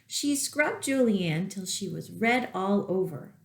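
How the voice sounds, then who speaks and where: A woman reading a storybook aloud to young children, expressive and deliberate, with asides to the listeners. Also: mid-range voice, creaky. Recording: echoey room.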